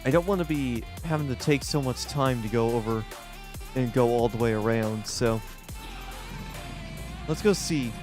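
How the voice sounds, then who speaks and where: A man with a loud voice giving rambling, casual commentary while streaming a game live, often restarting his sentences and talking to the game. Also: mid-range voice, moderate pace, music in the background. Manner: rambling, self-correcting, talks to the game.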